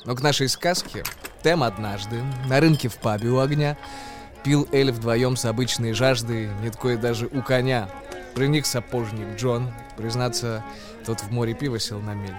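A man, an actor, narrating a tale in verse for a radio play in an expressive, declamatory way. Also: mid-range voice, moderate pace, music in the background.

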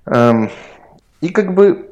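A man's voice: a little high, animated, drawn-out words, darkish tone, lo-fi sound. A man from Ukraine co-hosting a podcast, explaining things in a reflective, storytelling way during casual chat. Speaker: podcast co-host.

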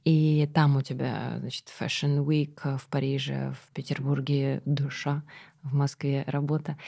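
Low husky creaky-voiced woman interviewer. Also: mellow. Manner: chatty, long rambling questions. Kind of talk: casual conversational interview.

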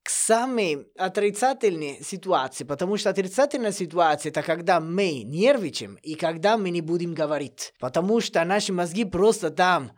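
A man from Italy with an Italian accent, a guest chatting in non-native Russian with grammar slips and lively storytelling. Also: high-pitched, moderate pace, hardly any pauses. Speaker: guest, language teacher. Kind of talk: casual podcast conversation.

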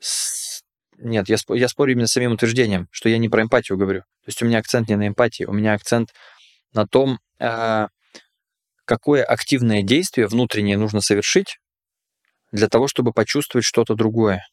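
A man's voice: fairly low pitch, fairly even intonation, a little fast, dry recording, clean studio sound. A man hosting a podcast in casual conversation, explaining ideas in a hesitant, reflective way with many fillers. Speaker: podcast host.

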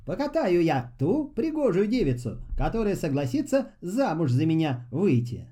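A man's voice: sits high, very animated, moderate pace, mellow, slightly rough, heavily acted. A man voicing a simple, earnest young peasant hero in a storybook tale, somewhat plaintive.

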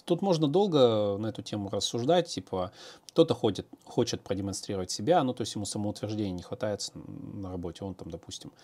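A man's voice: lowish voice, expressive, quick pace, mellow. A man, a programmer, answering viewer questions in a casual, hesitant monologue with many fillers and restarts.

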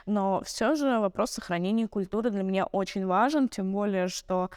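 Smooth-voiced woman, native Tatar speaker, in conversation. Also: medium pitch, moderate pace, darkish tone, dry recording, clean studio sound. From Tatarstan, Russia. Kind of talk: conversational discussion.

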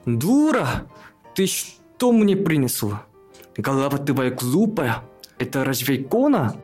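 Male actor, bossy excitable father, radio play, slow theatrical delivery, mid-pitched, faint music behind. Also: husky, exaggerated voice. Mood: angry. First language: Korean. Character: excitable, bossy father scheming to marry off his daughter. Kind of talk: radio play acting of a classic story.

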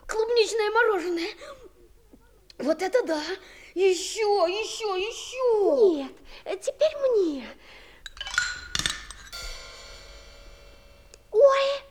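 An actress playing wide-eyed young children in a 1960s audio play, exclaiming and wondering. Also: female voice, very high-pitched, heavily acted. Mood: delighted.

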